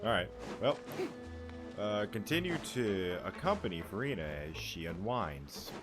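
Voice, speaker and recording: Man streaming a game, offhand jokes, very deep voice, game music behind. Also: sing-song, a little slow. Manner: offhand, joking. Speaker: gaming streamer. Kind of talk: casual gameplay commentary.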